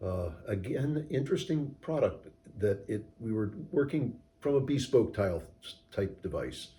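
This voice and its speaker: A man giving measured, technical, explanatory answers in an interview as a company co-founder. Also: on the low side, lively intonation, dark tone. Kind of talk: interview answers about product design.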